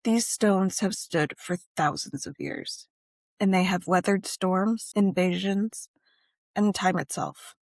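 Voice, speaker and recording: A woman narrating a scripted guided meditation and ritual in measured, deliberate phrases with frequent pauses. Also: on the low side, vocal fry, a little mellow, dry recording, clean studio sound.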